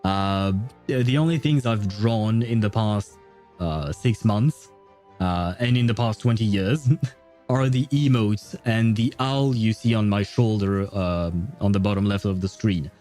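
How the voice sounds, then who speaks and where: A man with a fairly low, soft-edged, mellow voice hosts a gaming livestream. He talks casually and hesitantly, rambling as he addresses viewers, with faint music in the background. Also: a little slow. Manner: hesitant, rambling, chuckling often. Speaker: livestream host.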